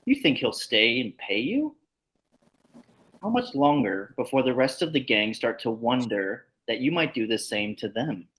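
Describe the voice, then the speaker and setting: A man's voice: mid-range voice, very animated, unhurried, fairly bright tone, low-quality recording. A man playing the accusing ghost of a dead cavalry soldier in a western table read, with a needling manner.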